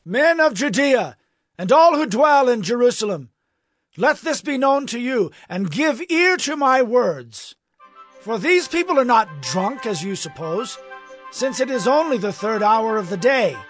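An actor playing Peter, a bold, fervent apostle addressing a crowd in a declamatory raised voice in a scripture audio drama. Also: male voice, very high-pitched, very animated, unhurried, a bit bright, faint music behind, full character voice. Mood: fervent, proclaiming.

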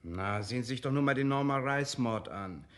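Schauspieler als redseliger, lebhaft betonender Gentleman. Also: mid-range voice, lively intonation, brisk, natural voice. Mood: eager, chatty. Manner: emphatic, theatrical, chatty. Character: chatty, opinionated gentleman, excitable amateur sleuth. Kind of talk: German radio crime drama acting.

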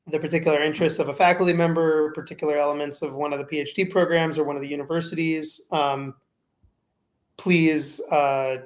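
A faculty member hosting a Q&A session on a video call, answering with a measured manner and many fillers. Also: male voice, on the high side. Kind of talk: casual Q&A moderation.

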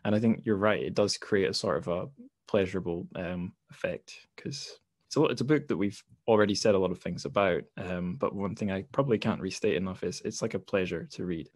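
A man's voice: low voice, fairly quick, a little mellow, no room sound, studio quality. A man hosting a podcast interview over a video call, casual and hesitant, with many fillers and restarts. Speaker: podcast host. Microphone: home mic on a video call.

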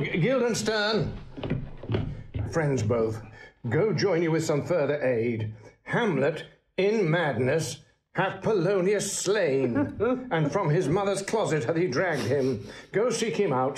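A man's voice: medium pitch, a little mellow. An actor playing an anxious, calculating king in a classical audio drama, grave and declamatory. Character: anxious, calculating king, a man of stately authority. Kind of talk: classical Shakespearean radio acting.

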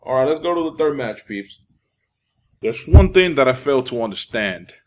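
A man's voice: medium pitch, expressive, normal speed, a little mellow. A young man from the Dominican Republic giving casual, profane commentary while playing a fighting game, ranting and easily irritated. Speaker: gameplay commentator.

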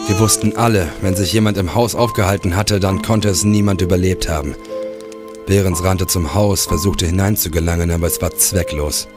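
An actor playing a grim police investigator who narrates his case in a crime audio drama, grave and measured. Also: sits low, steady tone, over music, lightly acted.

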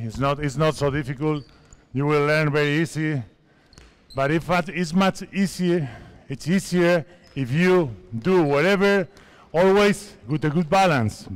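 A man's voice: fairly high pitch, clean tone. Spanish man in his fifties coaching basketball. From Spain. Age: 50s. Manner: instructive, coaching players with short commands. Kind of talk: coaching clinic on court. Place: large indoor sports hall. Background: basketballs bouncing.

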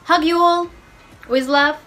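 A woman's voice: sits high, steady tone, takes it slowly, sharp tone, slight background noise, low-quality recording. A woman in her twenties from Russia with a Russian accent, a cosplayer chatting to camera at home in careful non-native English. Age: 20s. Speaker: cosplayer and costume designer. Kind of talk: self-introduction to camera. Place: home room indoors.

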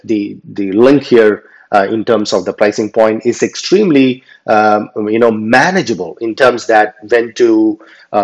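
Male instructor, lowish voice, off-the-cuff delivery, muffled lo-fi capture. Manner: unscripted, many fillers and restarts. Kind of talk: slide presentation lecture. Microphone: voice-over on slides. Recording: dull lo-fi voice recording.

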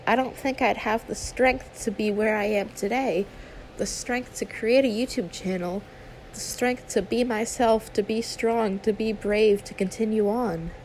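Casting: actress as young female YouTuber for audio roleplay, higher-pitched with vocal fry, friendly, confiding, hesitant. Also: a little mellow, a little hiss or hum, low-quality recording. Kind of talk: ASMR roleplay, conversational acting.

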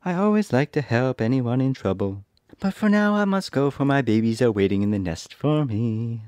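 A man voicing a kind, motherly female stork in a storybook reading, speaking high and drawn out. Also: animated, moderate pace, darkish tone, dry recording, natural voice.

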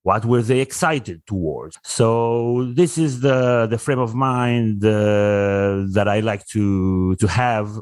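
Low-voiced man explaining thoughtfully, Hebrew native. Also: slow, few pauses, a little mellow, smooth, no room sound. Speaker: guest podcaster. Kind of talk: casual podcast conversation.

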